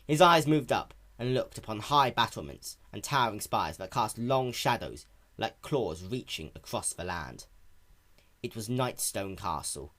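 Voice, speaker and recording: A man's voice: on the low side, expressive, a little slow, fairly bright tone. A man narrating a piece of fan fiction as a dramatic reading.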